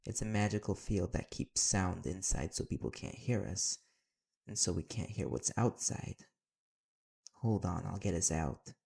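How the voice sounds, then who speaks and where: A man's voice: low-pitched, unhurried, vocal fry, darkish tone. A man playing a sarcastic, irritable private eye with magic in an audio roleplay, terse and exasperated. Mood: tense urgency. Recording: very noisy audio with clicking.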